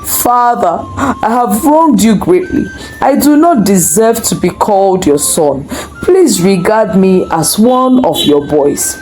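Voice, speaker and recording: Lady doing a sorry young prodigal son from a folktale, emotional and pleading, slow and very up and down. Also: mid-range voice, very animated, a little mellow, faint music behind, rough recording. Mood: pleading shame. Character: remorseful young man, humbled prodigal son.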